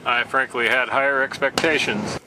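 A man calmly narrating shooting tests at an outdoor range, in a matter-of-fact, plain delivery. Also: mid-range voice, moderate pace. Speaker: channel host. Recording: noisy outdoor recording.

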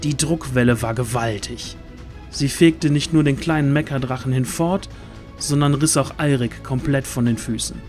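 A man narrating a fantasy audio drama in a suspenseful, deliberate way with dramatic pauses. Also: medium pitch, a little mellow, faint music behind.

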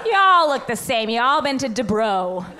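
A woman's voice: on the high side, big pitch swings, moderate pace. A woman hosting a live podcast, giving exaggerated comic commentary and laughing as she talks. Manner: exaggerated comic storytelling, laughs while talking. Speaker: podcast host. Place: theater stage before live audience. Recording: noisy live stage recording.

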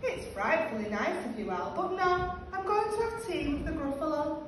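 Woman as a small boastful mouse, sing-song, lively pitch, distant mic. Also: normal speed. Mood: politely declining. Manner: polite sing-song storybook delivery. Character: small brown mouse, polite, cheeky, boastful trickster. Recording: roomy, distant classroom recording, faint noise.

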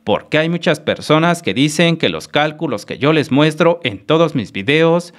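A man's voice: fairly high pitch, normal speed, no room sound. Guy from mexico going thru youtube money numbers, bouncy tone, dead dry sound. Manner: lively explanatory, repeats "mis queridos amigos". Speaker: YouTube channel analyst host. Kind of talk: screen-recorded earnings analysis voice-over.

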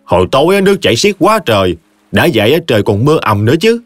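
A man voicing a blunt, know-it-all village man who talks fast in a raised voice. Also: medium pitch, very animated, no echo, faint music behind, close to an everyday voice.

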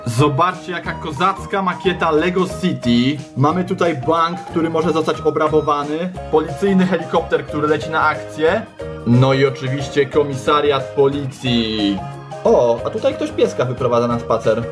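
A man's voice: fairly high pitch, moderate pace. A young man presenting a playful, informal toy review show in an enthusiastic, slangy way. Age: young adult. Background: background music.

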